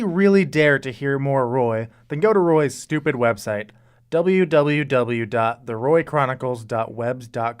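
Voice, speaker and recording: A put-upon, sardonic announcer played by a man closing a radio comedy. The voice is smooth and crisp at a middle pitch, mock-grand and drily sarcastic. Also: natural voice. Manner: dry, sarcastic. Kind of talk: scripted comic radio outro.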